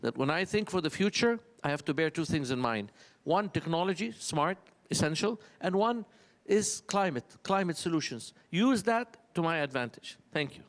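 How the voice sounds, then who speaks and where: A man's voice: a little high, animated, moderate pace. A man with an Egyptian accent, a native Arabic speaker, giving earnest impromptu panel remarks in short rhetorical phrases. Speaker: summit panel speaker, senior official.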